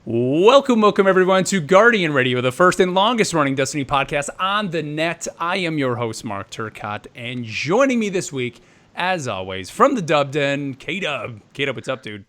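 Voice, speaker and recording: A man's voice: on the high side, sing-song, moderate pace, fairly bright tone. A man hosting a live gaming podcast, talking in an upbeat, chatty way. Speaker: podcast host.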